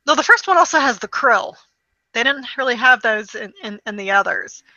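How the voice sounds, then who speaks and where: A woman chatting casually about video games, telling stories in an animated way with acted-out quotes and the odd swear word. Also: fairly high pitch, normal speed, dry recording, dull audio. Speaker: podcast host.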